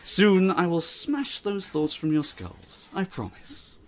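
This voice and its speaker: A man's voice: high voice, animated, takes it slowly, slight background noise. A man playing a stern, eccentric college teacher in an audio drama, theatrical and dryly ominous. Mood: ominous, emphatic.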